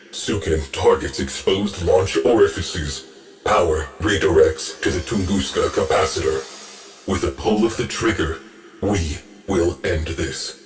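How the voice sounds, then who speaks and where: An actor playing a cold, mournful male ship AI who speaks in terse, clipped reports. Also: sits low. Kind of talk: game voice acting.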